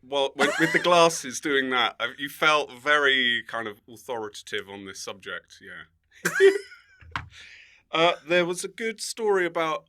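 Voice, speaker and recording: A man chatting casually as a comedian guest on a podcast, wry and bantering and quick to laugh. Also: on the high side, big pitch swings, a crowd in the background.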